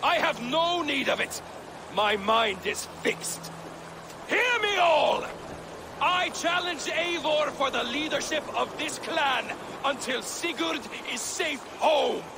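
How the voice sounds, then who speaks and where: An actor playing a defiant, aggrieved Viking warrior in a video game, with forceful, declamatory delivery. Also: male voice, very high voice, lively intonation, slow pace, noisy background, exaggerated voice. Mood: angry, accusing. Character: defiant, aggrieved male Viking warrior challenging his leader. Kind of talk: dramatic video game cutscene acting.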